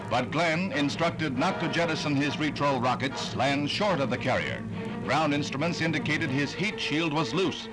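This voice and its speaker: A man's voice: fairly high pitch, moderate pace, low-quality recording. An American man narrating a 1960s newsreel in a dramatic, grandiose announcer's delivery, on an old film soundtrack. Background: loud music.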